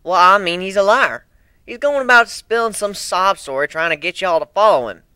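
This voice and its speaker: Cartoon female farm pony, US Southern accent , acted by a reader; blunt, folksy, raised pitch. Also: animated, normal speed, sharp tone, clean tone, dry recording, clean studio sound. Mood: accusing. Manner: folksy, accusing.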